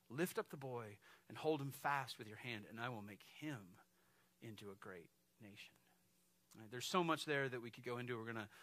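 A man in his forties, a lead pastor, preaching an animated, conversational sermon on stage, often checking in with "right?". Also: medium pitch, no room sound. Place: stage of a large dark church hall, indoors.

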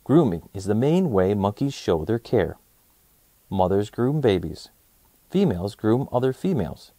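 A man reading a children's nonfiction book aloud as host, in an expressive, clearly enunciated storytelling manner for young listeners. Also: fairly low pitch, unhurried, no room sound.

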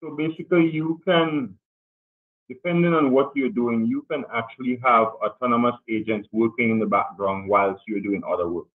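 A man taking part in an online class, explaining casually over a thin, muffled video call line. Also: fairly low pitch.

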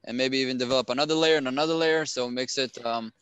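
A man hosting an interview podcast on a headset, quickly summing up and rephrasing his guest's points. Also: medium pitch, fairly bright tone. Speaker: podcast host.

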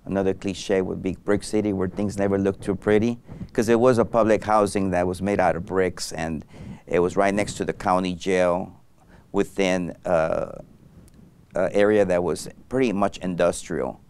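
A man's voice: deep voice, fairly even intonation, moderate pace, a little mellow, a little hiss or hum. A middle-aged man from Mexico, a doctor guest in an interview, speaking thoughtfully with many fillers and restarts on a lapel mic in a studio set. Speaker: anesthesiologist guest. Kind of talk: interview conversation.

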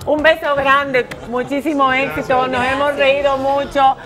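Female TV host, high voice, live studio crowd. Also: flat delivery, measured pace. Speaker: TV show host. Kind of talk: TV studio hosting.

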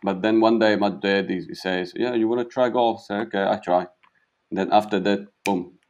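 Low, flat-voiced Italian man. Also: highs cut off. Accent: Italian, with a touch of South African. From Italy. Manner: halting non-native English, repeats words. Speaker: pro golfer, guest. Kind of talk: casual interview answers.

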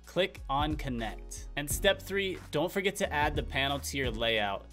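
A young man hosting a YouTube tutorial, speaking straight to viewers in clear step-by-step, upbeat scripted instructions. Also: on the high side, animated, unhurried, sharp tone. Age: young adult. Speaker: tutorial video host. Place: small indoor room at a desk. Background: faint background music.

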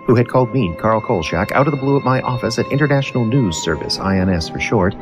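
An actor playing a hard-boiled newspaper reporter, narrating a case in a dramatic, wordy noir radio drama style. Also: male voice, medium pitch, expressive, fast, lightly acted. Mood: brooding narration. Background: music.

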